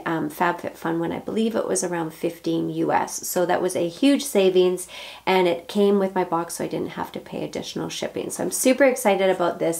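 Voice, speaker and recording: A woman in her forties chats to the camera in an upbeat, casual way, her voice hoarse from the flu. Her speech is gravelly and sits a little low, with plenty of ums as she talks. She is recorded at home by a camera on the desk. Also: darkish tone. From Canada. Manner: chatty and upbeat, with frequent ums. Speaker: beauty vlogger. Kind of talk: casual unboxing chat to camera. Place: home beauty room with shelves behind. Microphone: camera at desk distance, head and shoulders framing.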